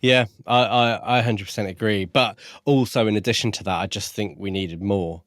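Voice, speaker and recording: Low-voiced man in casual book chat. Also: steady tone, brisk, a bit bright, dry recording, studio quality. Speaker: book podcast co-host.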